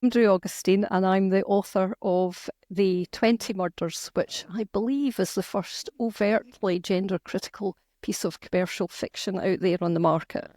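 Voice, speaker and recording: A woman's voice: medium pitch, steady tone, moderate pace, few pauses, dark tone, dry recording, clean studio sound. A middle-aged Scottish woman, an author talking earnestly and emphatically in a conversational interview, with frequent restarts. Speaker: author and guest.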